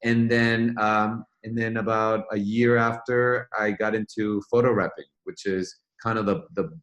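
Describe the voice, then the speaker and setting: A man's voice: lowish voice, level tone, unhurried, a bit bright, rough recording. A man from Venezuela, a guest in an interview, telling his story in a reflective, rambling way with frequent restarts. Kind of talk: casual interview conversation.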